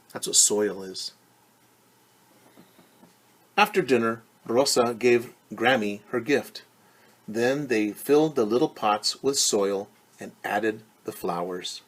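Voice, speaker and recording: A man's voice: fairly low pitch, fairly bright tone. A man teaching young children, reading a picture book aloud and patiently explaining it in simple terms with questions.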